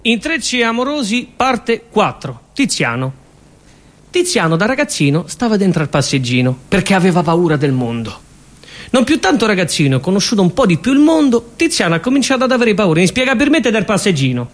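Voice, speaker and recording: High, lively Roman man doing a monologue. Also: brisk. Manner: rhythmic, repetitive wordplay chanted in loops. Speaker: stage actor. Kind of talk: comic theatre monologue.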